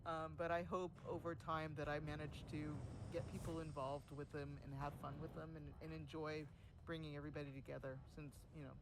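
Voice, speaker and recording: A man recalls community events in loose, casual livestream chat. His voice sits fairly high, and he laughs easily and often between his ums. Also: level tone, brisk, background noise. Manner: chatty, laughs often, many fillers; says he has a louder voice than most.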